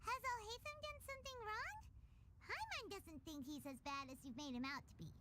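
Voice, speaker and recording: A woman voicing a tiny, excitable female fairy companion in a video game, with a squeaky childlike voice. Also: fairly quick, a little hiss or hum, exaggerated voice. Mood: doubtful. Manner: excitable, talks about herself in third person. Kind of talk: video game cutscene voice acting. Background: game music.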